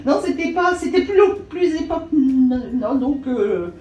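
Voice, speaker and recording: Lively, animated middle-aged woman. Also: high voice, measured pace, roomy sound, far from the mic, muffled recording. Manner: lively, laughing, self-assured. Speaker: guest, dominant partner. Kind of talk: casual chat.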